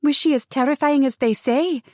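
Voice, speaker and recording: A woman playing a gentleman's lively, curious sister in an audiobook, animated and teasing in manner. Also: high-pitched, moderate pace, no echo, studio quality, voice pushed up, natural voice. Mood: delighted. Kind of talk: audiobook character dialogue.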